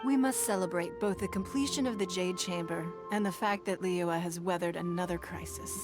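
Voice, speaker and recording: A woman's voice: lowish voice, big pitch swings, moderate pace. A woman playing a dignified, gracious noblewoman leader in a video game, composed, eloquent and formal. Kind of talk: scripted video game character acting. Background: music.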